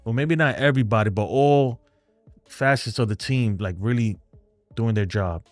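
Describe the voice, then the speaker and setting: A man's voice: medium pitch, moderate pace, no echo, soft background music. A man with a New York accent hosting a fan live stream, chatting loosely and rambling as he answers viewer comments. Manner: rambling, talkative, loose and frank.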